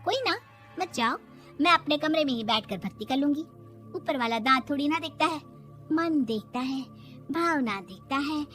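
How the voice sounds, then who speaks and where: A woman's voice: high-pitched, animated, moderate pace, a bit bright. A woman voicing a brash, defensive young daughter-in-law in a Hindi cartoon story, arguing with sarcastic back-talk over music. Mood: sulky.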